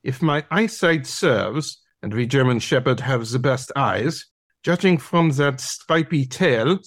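An actor voicing a bossy, pompous dog instructor in an audio play, with an imperious, declamatory delivery. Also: male voice, medium pitch, lively intonation, slow pace, dry recording, studio quality. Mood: irritated, commanding. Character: bossy, pompous German Shepherd masterclass instructor, male. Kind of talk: theatrical audio drama acting.

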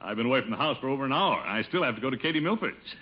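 Blustery, animated pompous uncle. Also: male voice, medium pitch, very fast, husky. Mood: hurried. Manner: blustery, hesitant stammering, chuckling. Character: pompous, blustering, easily flustered middle-aged uncle. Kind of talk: 1950s radio sitcom acting. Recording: dull old radio broadcast recording.

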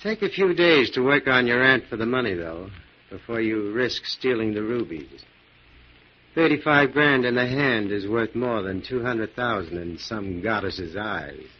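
An actor playing a tough, wary moneylender in a 1940s radio crime drama, talking in blunt slang. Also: male voice, on the low side, animated, a little slow. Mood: dealing. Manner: blunt, slangy, hard-bargaining. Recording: muffled old 1940s radio recording.

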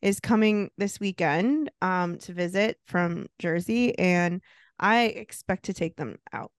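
A woman's voice: fairly low pitch, unhurried, clean tone, no echo, clean studio sound. A woman in her late thirties co-hosting a podcast, chatting casually and laughing often. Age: 30s. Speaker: podcast co-host. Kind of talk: casual chat.

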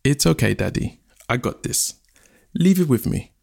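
A man's voice: medium pitch, big pitch swings, moderate pace, vocal fry, a little mellow, exaggerated voice. A man voicing a feisty, picky young princess in a folktale, with a sassy delivery. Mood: confident. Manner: squealing, sassy.

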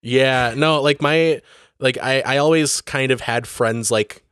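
A young man hosting a podcast, chatting casually in a calm, self-deprecating way. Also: medium pitch, unhurried, clean tone, dry recording, clean studio sound. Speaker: podcast host. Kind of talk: casual chat.

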